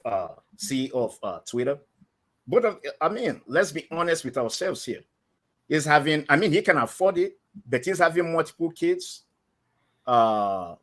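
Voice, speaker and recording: A man's voice: medium pitch, a bit bright. A man from Nigeria with a Nigerian accent, calling in to a live stream show and talking hesitantly, with many fillers and restarts. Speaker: caller.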